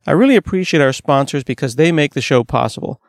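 A man's voice: fairly high pitch, expressive, fast talker, no echo, clean studio sound. A man hosting an interview podcast, talking in a conversational presenter's way. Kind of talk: conversational tech interview with sponsor read.

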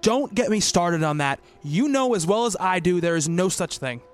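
An actor playing a concerned, skeptical doctor who narrates his investigation in a measured, formal radio play style. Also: high voice, animated, soft background music. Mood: concerned, earnest.

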